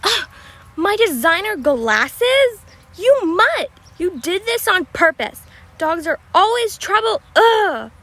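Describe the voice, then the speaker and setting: A woman's voice: extremely high pitch, big pitch swings, slow, a bit bright, voice pushed up, exaggerated voice. A woman voicing a haughty, spiteful, vain animal with a scornful, snobbish manner, in an amateur cartoon audition. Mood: outraged.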